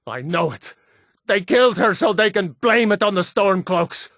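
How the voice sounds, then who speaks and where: A man's voice: high-pitched, lively intonation, moderate pace, a bit bright, dry recording, studio quality. An actor playing a bitter, proud middle-aged Nord father in a video game, indignant and scornful. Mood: scornful anger. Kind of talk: video game character dialogue.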